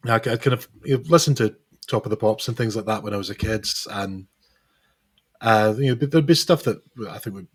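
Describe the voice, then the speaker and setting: A man with a hoarse, recovering voice, chatting as a musician guest in an interview, hesitant and full of restarts. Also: on the low side. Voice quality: hesitant, lots of fillers and restarts. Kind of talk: casual interview chat.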